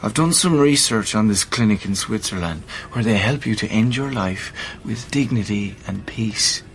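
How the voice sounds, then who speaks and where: A man's voice: lowish voice, expressive, moderate pace, slight background noise. An Irish man of about thirty who is seriously ill in a radio drama. He speaks bluntly and colloquially, with dry, sardonic determination. The delivery is naturalistic and understated. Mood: weary, serious. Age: 30s. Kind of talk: naturalistic radio play acting.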